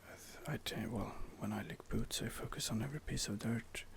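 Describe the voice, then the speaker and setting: Low gravelly whispering man. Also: a little fast. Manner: soft, hesitant, musing. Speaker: ASMR gamer. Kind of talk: whispered ASMR gameplay commentary.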